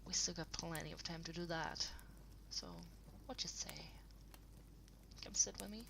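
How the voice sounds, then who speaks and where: A woman playing an affectionate partner in an intimate audio roleplay, rambling softly and hesitantly to the listener at home. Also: on the low side, background noise. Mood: cozy, coaxing. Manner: soft, breathy, hesitant rambling with trailing pauses.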